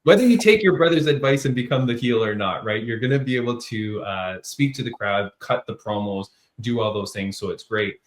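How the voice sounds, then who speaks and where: A man's voice: mid-range voice, brisk, few pauses. A man from Canada hosting a podcast interview, upbeat and chummy, calling his guest "man" and "buddy". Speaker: podcast host. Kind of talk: casual interview.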